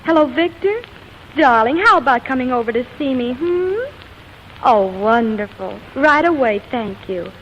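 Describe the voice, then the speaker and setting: A woman's voice: high voice, lively intonation, moderate pace. A woman playing a haughty, fiery young glamour girl, coquettish and theatrical in 1940s comedy style. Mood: sweetly coaxing. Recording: muffled old soundtrack, hissy noise.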